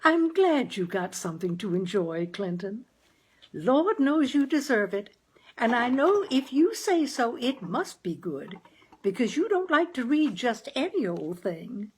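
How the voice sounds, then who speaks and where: Woman playing a high-pitched, coaxing homely mother. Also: very animated, measured pace, breathy edge, heavily acted. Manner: coaxing, rambling, folksy. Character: gentle, persistent, wheedling mother, homely and chatty.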